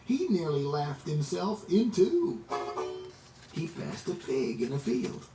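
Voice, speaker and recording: A man reading a children's story aloud in an expressive, dramatic way, drawing out words for emphasis. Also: a little high, over music. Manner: animated, dramatic, drawn-out emphasis. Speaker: storybook reader. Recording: roomy, distant, noisy amateur recording.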